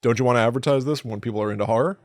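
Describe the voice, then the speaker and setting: A man co-hosting a casual film discussion podcast, talking in a jokey, halting way and repeating words for comic emphasis. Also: mid-range voice, no room sound. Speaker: podcast co-host.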